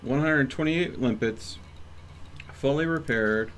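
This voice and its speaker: A male streamer with a medium-pitched voice chats casually while gaming. He rambles and thinks out loud as he goes. There is some noise behind him. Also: slow. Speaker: live streamer playing a video game. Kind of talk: casual livestream gameplay chat.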